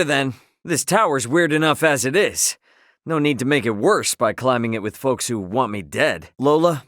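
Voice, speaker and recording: A man's voice: medium pitch, a bit bright, no room sound, studio quality. A man playing an easygoing, cocky young adventurer hero in a video game, speaking casually and wryly. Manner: casual, colloquial, wry. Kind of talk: acted video game dialogue.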